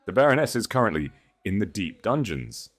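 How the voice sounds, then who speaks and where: A proud sovereign grand duke, acted out by a male narrator, speaks in a low, solemn voice. His delivery is regal and declamatory, made for a storybook romance, with grave authority in every phrase. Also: big pitch swings, fairly quick. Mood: wrathful declaration. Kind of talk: dramatic romance audiobook dialogue.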